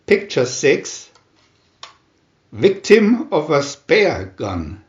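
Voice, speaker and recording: A man narrates a comic adventure in a theatrical announcer style. He speaks slowly and with pauses, his pitch swinging widely. The recording is roomy and somewhat dull. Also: huge pitch swings. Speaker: narrator reading aloud a picture-reel booklet.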